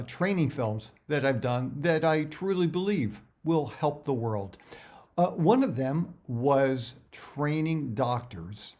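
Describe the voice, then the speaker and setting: Casual, halting middle-aged man talking to viewers. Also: mid-range voice, darkish tone. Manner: conversational, halting, often repeating words. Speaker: actor giving career advice. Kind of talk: casual direct-to-viewer talk.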